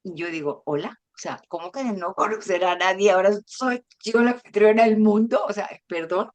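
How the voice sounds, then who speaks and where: A woman born in Venezuela and raised in Madrid, teaching a spiritual workshop over a video call in an expressive, storytelling way. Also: mid-range voice, moderate pace. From Venezuela and Spain. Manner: expressive, warm storyteller who acts out dialogue. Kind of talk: informal spiritual talk.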